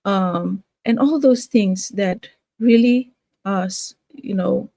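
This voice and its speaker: A woman's voice: medium pitch, slow, creaky, dark tone, no room sound. An older woman from Fiji talking casually to her viewers about her home business, hesitant, with many fillers and restarts. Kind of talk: casual talk to camera.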